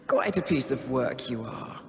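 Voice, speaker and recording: A woman playing a poised, calculating intelligence handler in a video game, speaking in a measured, formal and cutting way. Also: extremely low pitch, lively intonation, gravelly, a crowd in the background, full character voice. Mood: cold mockery. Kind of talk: scripted video game acting. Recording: long reverb, music underneath.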